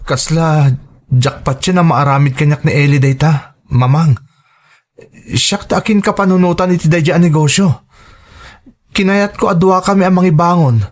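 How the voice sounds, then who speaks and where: A man's voice: a little high, normal speed, pauses often, natural voice. A man playing a hurt, indignant young son who feels betrayed, in melodramatic audio drama style. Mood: shocked, hurt. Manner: emotional, reproachful.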